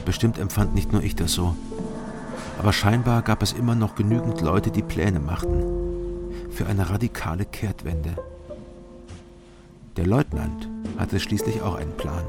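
An actor playing a stubborn civilian investigator and wry narrator in a radio drama, dry and understated. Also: male voice, deep voice, a little slow, long pauses, over loud music. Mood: wry, reflective.

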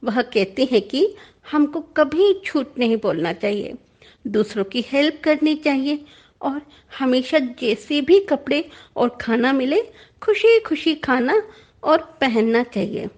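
A woman's voice: sits high, lively intonation, takes it slowly, a little mellow. A woman voicing an innocent, earnest little schoolgirl in a childlike manner for a storybook reading. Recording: dull lo-fi recording.

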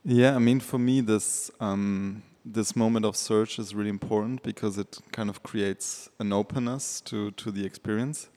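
A man's voice: lowish voice, fairly even intonation, moderate pace, hardly any pauses, dark tone. A man, an artist, talking reflectively on a panel, hesitant and full of fillers. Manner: thoughtful, hesitant, many fillers.

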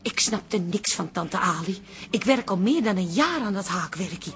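An actress playing a peacemaking bar landlady, brisk and soothing, in a Dutch radio comedy. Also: medium pitch, lively intonation, soft background music, close to an everyday voice. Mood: friendly. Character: peacemaking wife and daughter running a bar.

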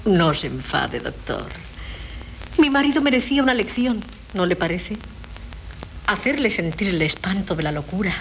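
An actress playing a coy young wife who feigns madness in a 1970s radio play, with hesitant, plaintive theatrical delivery. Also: female voice, fairly low pitch, expressive, normal speed, gravelly. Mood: coy, confiding. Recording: noisy 1970s radio recording.

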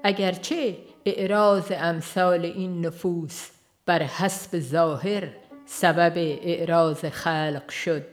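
Female audiobook reader, lower register, solemn formal delivery of devotional text over soft music. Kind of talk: scripted reading of a religious book, formal literary narration.

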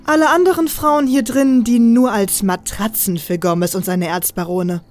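A woman's voice: a little high, fairly even intonation, normal speed, soft background music, close to an everyday voice. A woman playing a confident, teasing female assassin with a mocking manner in an audio drama. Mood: confident, matter-of-fact. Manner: mocking, playful, self-assured. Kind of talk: German fan audio drama acting.

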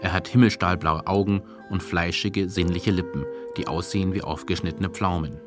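A man reading scripted narration for a radio feature in a measured, deadpan manner. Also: deep voice. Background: music.

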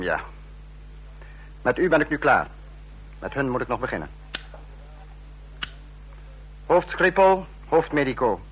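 Husky, menacing male dictator. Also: mid-range voice, a bit bright, exaggerated voice, poor audio. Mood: icy sarcasm. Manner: biting, sarcastic, domineering. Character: menacing male dictator, sarcastic, scolding his subordinates. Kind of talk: radio drama acting.

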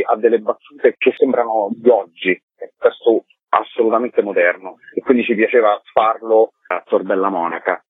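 A man's voice: fairly low pitch, husky, no echo. A man, a theatre director being interviewed for radio, explaining his project over a phone call. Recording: muffled narrow phone line.

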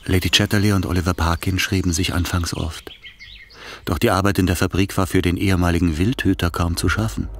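A man narrating a literary radio drama in a calm, measured way. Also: low-pitched, a little hiss or hum. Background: music.

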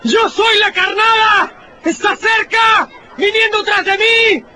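Frantic doctor: high, shouted radio-play pleading over a noisy mob. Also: male voice, slow, some chatter behind, exaggerated voice. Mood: frantic, triumphant. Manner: desperate shouting. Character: frantic doctor fighting, then pleading for a man's life. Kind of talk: Spanish-language radio drama acting.

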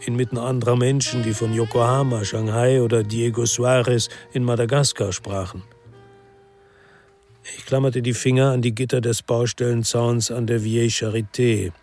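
Guy acting a brooding ex-cop telling his crime story, medium pitch, restrained and world-weary. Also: little pitch movement, darkish tone, soft background music, natural voice. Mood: reflective, brooding. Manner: measured, reflective, restrained. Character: brooding, world-weary male ex-policeman from Marseille, first-person narrator. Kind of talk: literary crime drama narration and dialogue.

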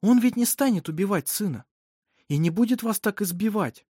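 A woman voicing a resolute, emphatic midwife in an audiobook, speaking with brisk authority. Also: medium pitch, expressive, warm tone, breathy edge, dry recording, studio quality, pitched above the performer's own voice. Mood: persuasive.

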